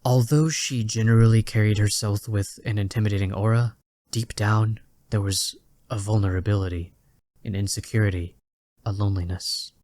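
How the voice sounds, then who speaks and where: A man reads dramatic fiction as its narrator. His voice is low and stays even, with measured literary phrasing, in a close, dry recording. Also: no echo. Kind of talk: scripted dramatic story narration.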